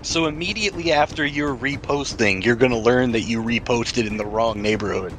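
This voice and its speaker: A man's voice: medium pitch, brisk, fairly bright tone, slight background noise, poor audio. A man chatting casually as game master in a tabletop role-playing game.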